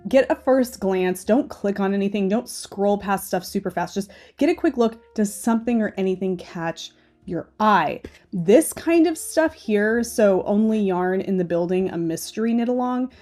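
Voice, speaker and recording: A woman's voice: mid-range voice, expressive, normal speed, darkish tone, soft background music. A woman hosting a knitting channel, chatting casually and opinionated while browsing on screen, a little stuffy and nasal. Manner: chatty and opinionated, often asking "okay?". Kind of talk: casual screen-share commentary.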